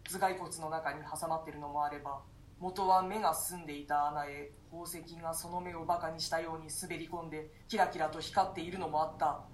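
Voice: medium pitch, flat delivery, brisk, reverberant, slight background noise, close to an everyday voice. A voice actor playing an imprisoned duke who recounts a nightmare in archaic, solemn speech. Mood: fearful. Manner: archaic, solemn storytelling with fearful gasps. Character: imprisoned duke haunted by a nightmare, male, grave. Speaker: voice actor in a radio reading. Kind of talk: classic stage play read as radio drama.

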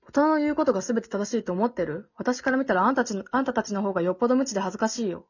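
A woman acting a blunt, exasperated but protective teenage girl in an amateur radio drama, dry and sharp-tongued. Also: a little high, very fast, slightly rough. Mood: scornful, defiant. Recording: dull, lo-fi audio.

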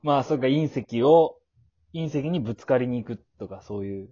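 A man's voice: mid-range voice, animated, normal speed, dark tone, highs cut off. A man chatting casually as a podcast co-host, playful and teasing. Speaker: podcast co-host in a male comedy duo. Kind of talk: casual comedic banter.

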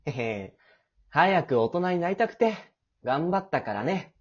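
A sweet, sensitive teenage boy, voiced by a woman in an amateur audio drama. The voice is lowish and husky, with tender, coaxing lines that move around a lot in pitch. The recording is a little dull and homemade. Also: lively intonation, measured pace, a little mellow, heavily acted. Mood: happy, affectionate. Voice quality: breathy. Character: sweet, clingy, sensitive teenage boy, grown-up childhood friend.

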